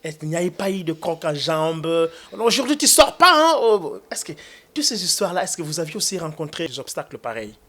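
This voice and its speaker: A Congolese man hosting an interview. He asks long, polite, winding questions, pausing on fillers as he goes. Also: on the high side, very animated, sharp tone. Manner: courteous, rambling questions with many hesitations. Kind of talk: formal interview.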